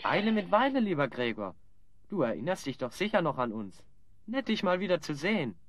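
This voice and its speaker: A man's voice: fairly high pitch, very animated, a little fast, noisy background. A man playing a bold, clever young adventurer with a wry, decisive manner in a German audio play. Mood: mocking.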